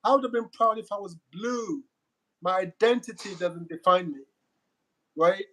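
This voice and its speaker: A man's voice: sits high, moderate pace, bright, forceful tone. A middle-aged man from West Africa with a West African accent, speaking emphatically as an interview guest and often repeating words while he presses a point. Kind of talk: candid conversational interview.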